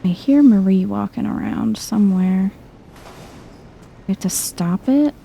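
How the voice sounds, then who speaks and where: A soft-spoken woman giving chill, casual game commentary in an ASMR vibe, murmuring as if to herself. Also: medium pitch, measured pace, creaky, a little hiss or hum. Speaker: gameplay streamer.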